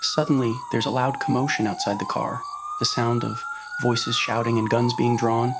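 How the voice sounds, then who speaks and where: A male narrator with a mid-pitched voice reads a scripted romance. The delivery is that of an ASMR roleplay story, told to the listener. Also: huge pitch swings, normal speed, heavy background noise. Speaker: narrator of fan fiction audio roleplay.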